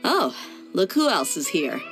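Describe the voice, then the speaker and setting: A woman's voice: fairly low pitch, sing-song, unhurried, fairly bright tone, soft background music, full character voice. A woman voicing a bold, easygoing warrior in a game, brash and breezy. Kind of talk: game cutscene dub.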